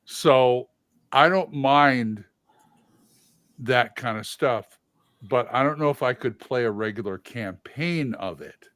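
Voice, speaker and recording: Opinionated man, halting, dry close sound. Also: medium pitch, no room sound. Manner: opinionated, halting, with loud excited bursts. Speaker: livestream host. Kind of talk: casual chat about tabletop games.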